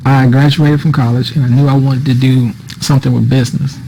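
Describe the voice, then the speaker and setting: A man's voice: mid-range voice, little pitch movement, a little fast, creaky, dark tone, breathy edge, low-quality recording. A man who works as an artist, casually telling his own story in an informal, conversational way.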